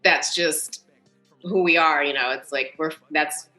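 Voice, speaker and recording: A woman's voice: low-pitched, faint music behind. An American woman in her early fifties chatting casually and animatedly on a video call, telling family anecdotes as a talkative podcast guest. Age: early 50s. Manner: animated, talkative, quick to laugh. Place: indoors, on a Zoom video call. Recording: roomy, echoey video call.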